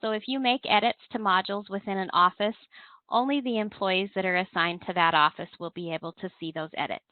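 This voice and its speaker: Female webinar trainer, mid-pitched, explanatory and methodical, on a dry, narrow telephone line. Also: fairly quick, dry recording. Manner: explanatory, step by step. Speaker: presenter, compliance software trainer. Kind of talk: instructional webinar walkthrough, semi-scripted. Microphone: telephone conference call. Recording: thin, narrow-band phone line.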